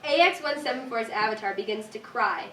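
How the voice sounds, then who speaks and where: A woman's voice: fairly high pitch, brisk. A woman narrating a script's stage directions at a staged reading. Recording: echoey room, distant mic, faint noise.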